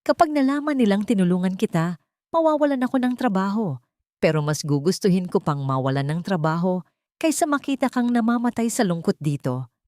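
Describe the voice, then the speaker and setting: Elderly motherly housekeeper played by a female narrator, trembling but brave, urgent, lively pitch, for an audio love story. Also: brisk, no room sound, studio quality, lightly acted. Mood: brave, caring. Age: older. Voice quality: trembling with fear. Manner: urgent hushed confiding.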